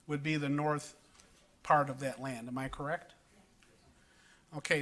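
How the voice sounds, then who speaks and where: Bright-voiced man at public comment. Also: a little high. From Wisconsin. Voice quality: big voice. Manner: earnest, halting, with many ums and restarts. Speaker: resident speaking at public comment. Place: council chamber.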